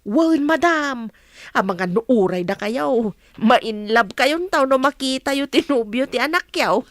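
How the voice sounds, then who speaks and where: A woman playing a gossipy, excitable young housemaid in an Ilocano radio comedy drama. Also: high voice, unhurried, no echo, clean studio sound. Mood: excited, teasing.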